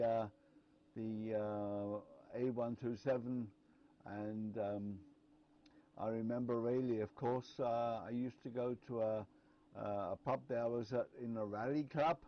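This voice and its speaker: A man on an amateur radio net, chatting casually and hesitantly with lots of pauses. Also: mid-range voice, muffled recording, a little hiss or hum. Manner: hesitant, many fillers and restarts. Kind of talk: casual on-air chat.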